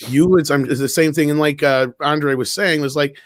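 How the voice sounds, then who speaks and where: A man's voice: a little high, steady tone, moderate pace, dry recording, clean studio sound. A man talking casually on a panel show, chatty and rambling, with a jokey streak. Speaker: online talk show panelist.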